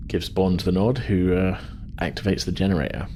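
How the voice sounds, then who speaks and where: Deep-voiced man narrating a sci-fi tabletop game. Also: steady tone, moderate pace, warm tone, slight background noise. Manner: hesitant, conversational, descriptive. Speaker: game master.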